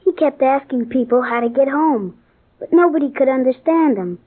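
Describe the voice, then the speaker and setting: A woman's voice: high voice, lively intonation, moderate pace. A woman playing an earnest, kind-hearted, matter-of-fact schoolboy with an American accent in an old-time radio drama. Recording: tinny, band-limited old radio broadcast.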